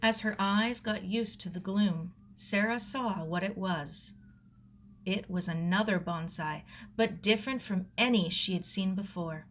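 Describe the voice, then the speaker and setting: A woman reading a children's novel aloud in measured, expressive scripted narration. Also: medium pitch, a little hiss or hum. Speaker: audiobook reader.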